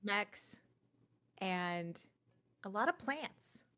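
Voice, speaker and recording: A woman's voice: medium pitch, expressive, drawn-out words, pushed and bright. A woman co-hosting a gaming news show, chatting casually and informally. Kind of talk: casual chat.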